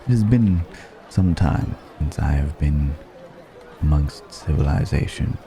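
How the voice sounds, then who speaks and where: Man playing a very deep, gravelly ancient dragon stranger. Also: lively intonation, dark tone, soft background music, heavily acted. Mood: musing, curious. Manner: musing, archaic formal phrasing, unhurried hums. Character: wry, curious ancient dragon passing as male stranger. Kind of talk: ASMR roleplay audio drama.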